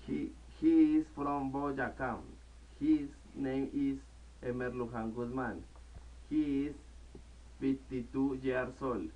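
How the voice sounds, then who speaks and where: A young man from Colombia in his twenties, speaking English with a Colombian Spanish accent as a learner and reciting a self-introduction word by word with pauses. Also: medium pitch, fairly even intonation, distant mic, dull audio. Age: 20s. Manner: halting, word-by-word delivery with pauses. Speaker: student. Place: small living room at home. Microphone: camera in front of the speaker.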